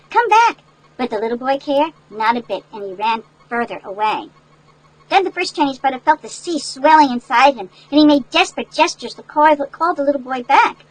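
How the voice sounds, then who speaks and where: An older woman reading a picture book aloud to children in an animated, folksy way, with chatty asides that explain things. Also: high voice, rough recording. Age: older, great-grandmother. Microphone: headset.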